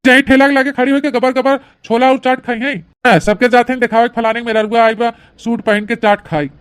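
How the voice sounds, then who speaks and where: A woman's voice: fairly high pitch, level tone, a little fast, smooth, close to an everyday voice. A woman voicing a bossy, stubborn village man in a comic family cartoon, blustering and argumentative, with an Awadhi accent. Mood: bossy, mocking. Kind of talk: rustic comedy cartoon dialogue.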